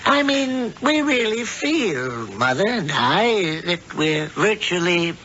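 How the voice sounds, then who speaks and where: An actor playing a sinister middle-aged warlock who poses as a kindly uncle, grandiose and fussily avuncular, in 1970s radio drama style. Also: male voice, sits high, very animated, slow, bright, forceful tone, heavily acted. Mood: sugary, reassuring. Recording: muffled, noisy vintage radio broadcast.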